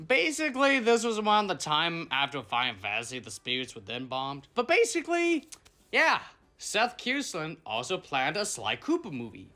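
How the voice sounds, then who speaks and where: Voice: mid-range voice, huge pitch swings, normal speed, pushed and bright. A video host giving casual, opinionated commentary from a script, with some swearing.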